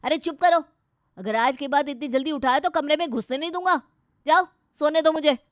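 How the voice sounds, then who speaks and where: A woman acts out an irritable young husband in a dramatised moral story. He is scornful and short-tempered, scolding in a loud, sarcastic way. The sound is dry. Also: sits high, a little fast, no echo, voice pushed up, natural voice. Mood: grumpy.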